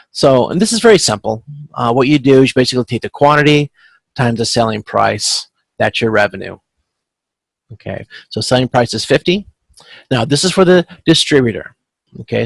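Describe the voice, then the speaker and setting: A man with a creaky voice working as an instructor, giving an informal, explanatory step-by-step tutorial. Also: mid-range voice, no echo, low-quality recording. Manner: explanatory, checks in with frequent "okay". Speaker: instructor leading a supply chain class tutorial. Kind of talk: informal step-by-step tutorial over video conference. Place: close, dry-sounding video call.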